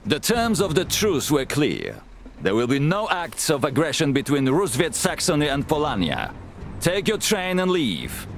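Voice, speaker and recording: An actor playing a stern, dutiful army commander in a video game, speaking formally and with indignant authority. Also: male voice, fairly high pitch, wildly swooping pitch, moderate pace, a little hiss or hum. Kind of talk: dramatic video game voice acting.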